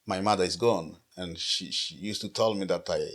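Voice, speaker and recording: This is a middle-aged pastor from Congo with a very low voice, speaking as an interview guest. He tells his story earnestly and repeats words when he restarts a sentence. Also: normal speed, fairly bright tone. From Democratic Republic of the Congo. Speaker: pastor and nonprofit founder.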